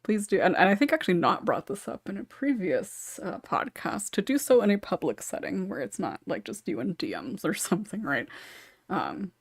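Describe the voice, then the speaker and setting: A woman chats casually as a podcast host, wandering through her thoughts and restarting as she goes. Her pitch sits in the middle for a woman, and the sound is dry and close to the microphone. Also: very animated, moderate pace, vocal fry, husky, no echo. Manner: rambling, self-interrupting, chuckles between thoughts. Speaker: podcast host and script writer.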